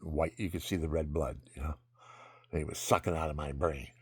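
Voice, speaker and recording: A man telling a rambling personal story in a monologue, jumping between loud outbursts and low mutters. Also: steady tone, moderate pace, breathy edge, no room sound. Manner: calls himself low-voiced.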